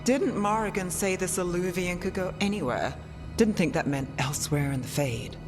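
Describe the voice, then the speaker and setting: An actor playing a cool, dry-witted investigator in a fantasy video game, wry and matter-of-fact. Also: male voice, sits high, normal speed, music in the background, natural voice.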